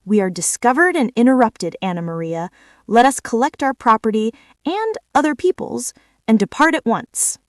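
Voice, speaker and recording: A woman's voice: on the high side, expressive, moderate pace, no room sound, distant mic, close to an everyday voice. A pompous old male rat from a storybook, voiced by a woman. He is fussy and formal, fond of long-winded phrasing. The sound is dry and close. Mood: grave, pompous.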